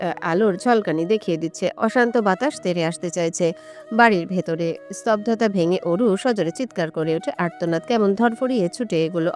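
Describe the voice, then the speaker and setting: A woman's voice: on the low side, a little mellow. A woman reading a scripted romantic story as its narrator.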